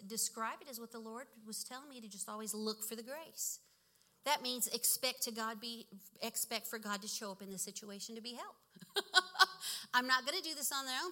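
A woman's voice: a little high, pushed and bright. A woman in her fifties sharing a personal faith story in a chatty, laughing way, often correcting herself. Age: 50s. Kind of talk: casual testimony talk.

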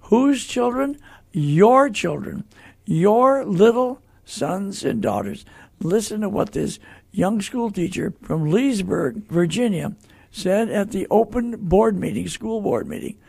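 High-pitched gravelly older American radio host. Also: male voice, animated, slow, darkish tone. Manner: emphatic, rhetorical questions, repeats phrases.

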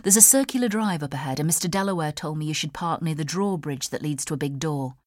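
A woman's voice: sits low, quick pace, a little mellow, no room sound, studio quality, lightly acted. A woman voicing a poised professional woman, practical, polite and considerate, in an audiobook. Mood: brisk, considerate.

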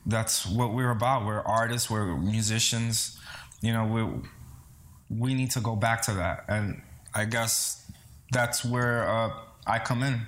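A man's voice: fairly low pitch, steady tone, a little slow, fairly bright tone. A man from the Bronx, talking casually and earnestly in an interview, hesitating and repeating words. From Bronx, New York City, early childhood in the Dominican Republic. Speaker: interview guest, singer-songwriter.

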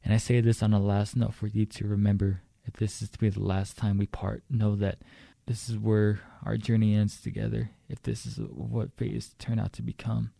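A man performing a solemn spoken-word poem in a slow, declamatory way. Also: fairly low pitch, level tone, vocal fry, dark tone, no echo. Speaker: poet.

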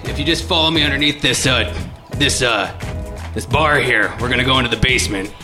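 A man's voice: medium pitch, fairly quick, natural voice. A man playing a shady, eager fight promoter in improvised live radio comedy. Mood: sly. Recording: live stage recording with music underneath.

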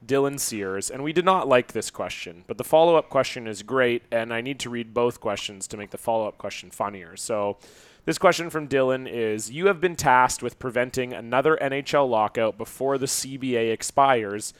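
A man's voice: mid-range voice, normal speed, clean tone, dry recording. A man co-hosting a podcast, chatting casually and jokingly in an informal, profane, bantering way. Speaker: podcast co-host reading listener questions.

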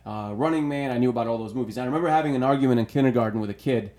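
A man's voice: mid-range voice, rapid-fire, darkish tone. Emphatic man in his thirties from Bulgaria. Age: 30s. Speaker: podcast guest. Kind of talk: casual chat, personal storytelling.

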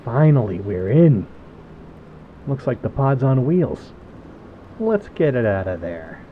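A man acts out a male road raider in an audio drama. He speaks slowly with animated pitch, in a dull, muffled recording. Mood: satisfied, businesslike. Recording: dull muffled sound, faint vehicle hum.

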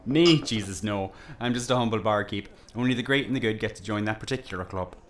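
An actor playing a chatty, teasing village pub landlord in an audio drama, with a folksy, jokey and politely nosy manner. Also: male voice, on the low side, a little fast, close to an everyday voice. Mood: wry, confiding.

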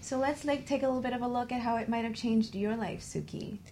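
A woman's voice: on the high side, fast talker, mellow, a little hiss or hum. A woman hosting a conversational talk show, explaining things reflectively and often checking in with the listener.

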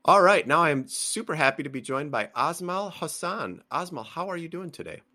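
A man's voice: on the high side, sing-song, moderate pace, sharp tone, faint music behind. A man hosting a podcast, introducing his guest and asking friendly, upbeat questions. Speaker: podcast host. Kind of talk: podcast interview.

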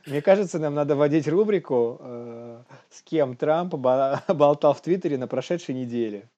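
A man's voice: fairly high pitch, normal speed, dark tone, highs cut off. A man co-hosting a comedy news show, bantering casually and jokingly in slang and acting out bits.

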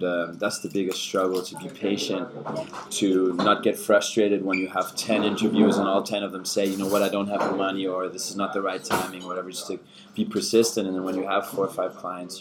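A man in his twenties whose first language is German, answering interview questions in a casual, measured way and often repeating words while he thinks. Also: deep voice, very noisy. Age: 20s. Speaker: podcast guest, fitness coach. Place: busy bar. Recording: bar crowd chatter behind.

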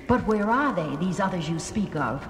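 A woman's voice: fairly low pitch, lively intonation, moderate pace, background noise, close to an everyday voice. An actress playing a thoughtful, curious female crew member in a space audio drama. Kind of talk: children's audio drama record acting. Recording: loud music on vintage LP drama.

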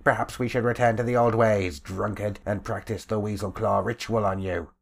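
A man's voice: extremely low pitch, level tone, normal speed, vocal fry, breathy edge, full character voice. A man voicing a cruel male leader of a street gang with a sneering manner. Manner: sneering, mocking.

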